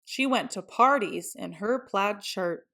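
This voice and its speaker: A woman's voice: medium pitch, takes it slowly, creaky, pushed and bright. A woman reading a children's picture book aloud as a storyteller. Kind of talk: scripted children's story narration.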